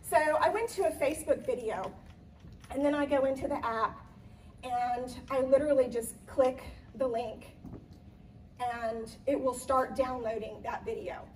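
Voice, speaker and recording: A slightly hoarse woman gives a chatty conference talk, pitched a little high. She fills pauses with ums and restarts. She sounds a bit distant from the mic, with faint room ambience behind her. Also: darkish tone, slight background noise. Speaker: event planner giving a conference talk. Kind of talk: live presentation to an audience.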